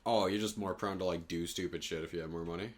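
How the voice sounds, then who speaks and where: A man's voice: low voice, fairly even intonation, fast. A young man, chatting casually and swearing freely as a podcast co-host. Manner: informal, sweary. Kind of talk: casual chat.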